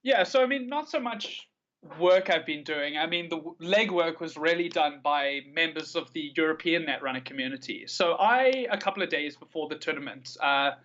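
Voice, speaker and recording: A man's voice: fairly high pitch, expressive, normal speed, a bit bright, lo-fi sound. A South African man giving long, articulate, enthusiastic answers as a guest in a conversational interview, with a South African accent. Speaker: podcast guest, card game tournament player.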